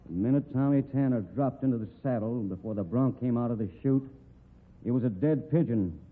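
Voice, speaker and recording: This is a dry, unhurried cowboy sleuth, voiced by a man in a vintage radio mystery. His mid-range drawl stays level as he pokes at each suspect. The audio is narrow and tinny, like an old broadcast. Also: mid-range voice, close to an everyday voice. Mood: explaining. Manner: laconic, dry, probing. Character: calm, dry rodeo manager investigating a murder. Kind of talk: 1940s radio acting.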